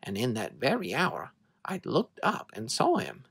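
A narrator reading scripture to children as a dramatic story, in an expressive, measured way. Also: medium pitch, creaky, husky, dry recording.

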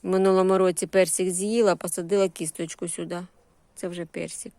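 A woman's voice: lowish voice, little pitch movement, fairly quick, darkish tone, no echo. A woman with a Ukrainian surzhyk accent giving a casual, unscripted garden tour in short, homely phrases full of diminutives. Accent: Ukrainian with Russian-influenced surzhyk words. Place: walking through a vegetable garden and greenhouse.

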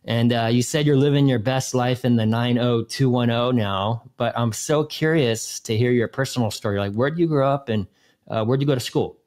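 A man's voice: mid-range voice, moderate pace, a little mellow. A man in his thirties hosting a podcast interview over a video call, chatty and friendly with fillers. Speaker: podcast host. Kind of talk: casual interview.

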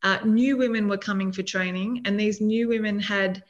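A woman's voice: mid-range voice, steady tone, normal speed. A middle-aged Australian woman explaining her social enterprise as an interview guest over a video call from home, earnest and full of "you know"s. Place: home room. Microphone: laptop or webcam mic on video call. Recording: slightly dull video call audio.

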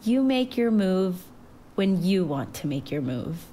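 A woman voicing a loving, gentle mother who speaks tenderly and encouragingly in a narrated story. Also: medium pitch, sing-song, slow pace, a little hiss or hum. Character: gentle, loving, reassuring mother of a growing girl.

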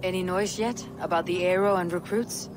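An actress playing a stoic, blunt Viking warrior woman in a video game, measured and resolute. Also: lowish voice, noisy background, natural voice. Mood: matter-of-fact. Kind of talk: video game cutscene acting.

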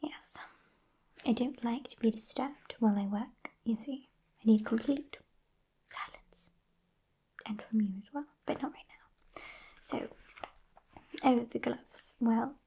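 A woman in her twenties with a British accent playing a sassy, haughty witch in a breathy, unhurried ASMR whisper, close to the microphone. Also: fairly high pitch, warm tone. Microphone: close microphone just off camera.

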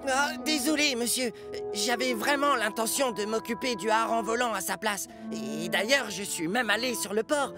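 A man's voice: extremely high pitch, normal speed, over music. A man voicing a vain, squeamish steam engine in a children's cartoon dub, boastful and theatrical. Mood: sheepish.

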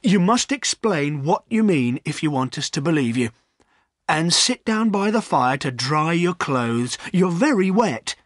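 Man playing a firm village innkeeper, lively pitch. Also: slow pace, no echo, clean studio sound, exaggerated voice. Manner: firm, placating.

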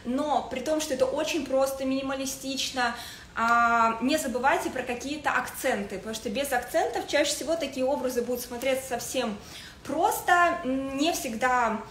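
A woman in her thirties giving a casual livestream style lesson as a stylist, speaking haltingly with searching pauses. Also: sits high, a bit bright. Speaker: fashion stylist hosting a livestream. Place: indoors in a home living room with a desk. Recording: echoey room with faint noise.

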